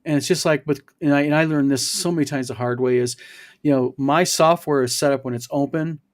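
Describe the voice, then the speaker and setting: A man's voice: a little high, fairly quick. A man in his fifties hosting a casual chat show, explaining his points at length. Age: 50s. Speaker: host.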